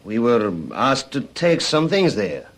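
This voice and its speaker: An actor playing a nervous foreign accomplice, terse and defensive, in an old-fashioned radio drama. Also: male voice, mid-range voice, very animated, slow pace. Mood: uneasy. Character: nervous foreign male accomplice under questioning.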